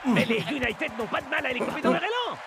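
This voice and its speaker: A man playing an excited football commentator in an animated cartoon dub. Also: very high-pitched, fast, over a noisy crowd, heavily acted. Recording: loud music under the voice.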